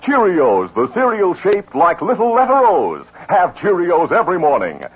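A man announcing and narrating a 1950s radio western, booming and emphatic in scripted delivery, on a dull old broadcast recording. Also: huge pitch swings, normal speed, breathy edge.

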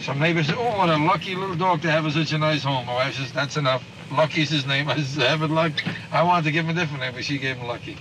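A man's voice: on the high side, fairly even intonation, fast. A man being interviewed, chatting in a jocular way. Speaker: street interviewee. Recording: noisy 1950s street tape.

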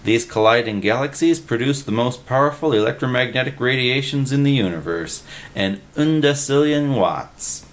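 A lazy park-bench drifter with a lofty, patronizing drawl, as a male narrator plays him. He explains things slowly, sounding pleased with his own learning. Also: medium pitch, expressive, pitched above the performer's own voice, lightly acted. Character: idle, pompous, well-read park-bench drifter Freddy.

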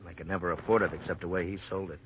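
An actor playing a blunt, plain-spoken small-town sheriff, stern and burdened, in a 1950s radio drama. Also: male voice, sits low, fairly even intonation, very fast, lightly acted. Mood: weary, regretful. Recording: muffled, hissy vintage radio recording.

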